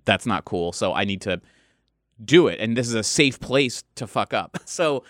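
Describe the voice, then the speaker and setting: A man with a smooth, clear voice chatting casually as a game master. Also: on the low side, lively intonation, normal speed, a bit bright, no echo, studio quality. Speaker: game master of a podcast RPG. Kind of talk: casual chat.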